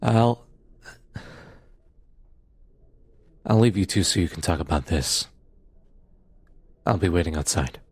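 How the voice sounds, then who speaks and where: A man playing a firm, matter-of-fact police detective in an audio roleplay drama, with a measured, official manner and a husky voice. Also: sits low, expressive, darkish tone, dry recording. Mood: resigned.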